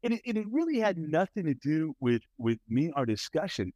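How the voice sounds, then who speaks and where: A man co-hosting a casual podcast chat, talking in an animated, expressive way with a big voice, often checking in with "right?". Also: fairly high pitch, fairly quick, no room sound, dull audio. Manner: many restarts and repeated "right?". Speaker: podcast co-host.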